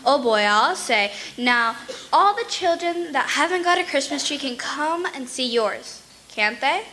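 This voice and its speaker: A woman acts a chirpy little neighborhood girl in an old radio comedy. The voice is high and bright, gushing eagerly and pestering with questions. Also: lively intonation, measured pace, a little hiss or hum, heavily acted, rough recording. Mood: gushing, excited. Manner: inquisitive, gushing, repeats questions.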